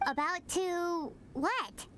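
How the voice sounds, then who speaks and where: A woman voicing a tiny, cheeky, childlike fairy companion in an anime-style video game, with a squeaky voice. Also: extremely slow, exaggerated voice. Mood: puzzled. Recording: noisy game audio capture.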